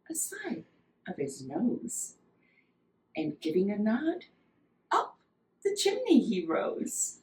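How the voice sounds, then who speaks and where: Animated, unhurried woman reading a children's story. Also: mid-range voice. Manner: dramatic, deliberate, with emphatic pauses. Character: Mrs. Claus, cheerful storyteller wife of Santa. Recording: roomy echo, distant microphone.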